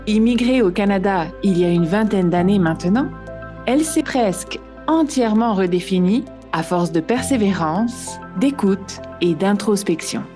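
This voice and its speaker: A woman hosting a science podcast, reading a scripted introduction in a smooth, measured way. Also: medium pitch, lively intonation, over music. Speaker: podcast host. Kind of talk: scripted narration.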